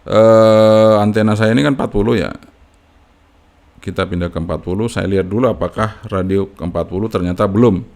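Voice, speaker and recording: A man's voice: on the low side, brisk, a little mellow. A man giving a casual, unscripted step-by-step tutorial as a hobbyist, in an informal manner with frequent checking tags.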